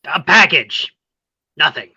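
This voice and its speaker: Woman doing flustered lovesick neighbour in her 40s, raspy voice that swings all over, roomy mic. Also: low-pitched, animated, slow, sharp tone, dull audio, heavily acted. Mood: flustered, secretive. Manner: hesitant, theatrically torn. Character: flustered, love-hungry neighbour woman of forty-two. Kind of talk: play table read.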